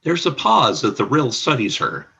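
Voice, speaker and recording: Bright, gravelly male narrator. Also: mid-range voice, huge pitch swings, normal speed. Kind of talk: scripted narration of radio play stage directions.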